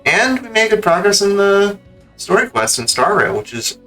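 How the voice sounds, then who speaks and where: A man's voice: high voice, big pitch swings, moderate pace, bright, forceful tone. A man speaking as a game livestreamer, chatting casually in an informal, rambling, slangy way. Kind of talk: casual livestream sign-off chat. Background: background music.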